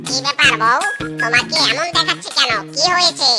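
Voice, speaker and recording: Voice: high voice, brisk. A performer voicing a stern, protective animal husband in a children's cartoon, threatening, over music. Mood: concerned. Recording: music behind the voice.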